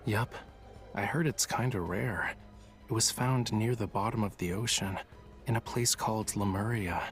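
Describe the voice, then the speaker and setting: A man plays a young artist from a romance game, teasing and secretive. His lowish voice stays nonchalant and coy, with soft music playing faintly behind it. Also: normal speed, close to an everyday voice. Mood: musing, coy. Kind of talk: English-dubbed romance game voice acting.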